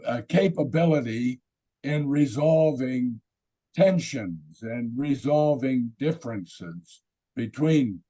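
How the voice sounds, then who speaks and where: Hoarse raspy guy on a video call, talks real slow, kinda mellow dark tone. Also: medium pitch. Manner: halting, many fillers and restarts. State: hoarse from illness. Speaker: presenter. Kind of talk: webinar presentation.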